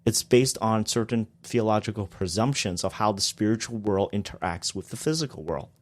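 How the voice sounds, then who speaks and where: A man's voice: on the low side, animated, normal speed. A man, a guest on a podcast, explaining theology in a casual discussion with emphatic bursts on key points.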